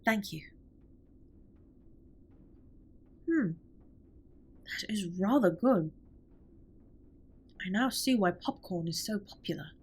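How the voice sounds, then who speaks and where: A woman's voice: medium pitch, lively intonation, a little slow, darkish tone, husky. A woman playing a formal, reserved but tender alien spaceship captain in a scripted comfort audio roleplay, speaking with precise, stilted politeness. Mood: polite, content.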